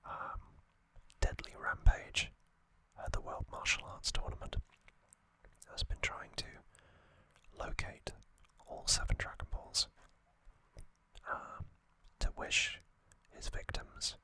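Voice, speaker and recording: A man whispering trivia softly for an ASMR video, close to the microphone, with frequent ums and uhs. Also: slow. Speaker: ASMR channel host.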